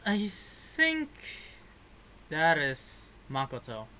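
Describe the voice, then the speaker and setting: A man's voice: fairly high pitch, wildly swooping pitch, drawn-out words, bright, forceful tone. A man giving informal live reactions to a video game, in short casual interjections. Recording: noisy stream audio with clicks.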